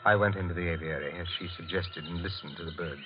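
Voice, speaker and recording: An actor playing a nervous, guilt-haunted husband in a tense, brooding old-time radio suspense drama. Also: male voice, deep voice, steady tone, dull audio, loud music behind the voice, close to an everyday voice. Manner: tense, brooding, deliberate confessional narration. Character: nervous, guilt-haunted husband and bird keeper narrating his crime.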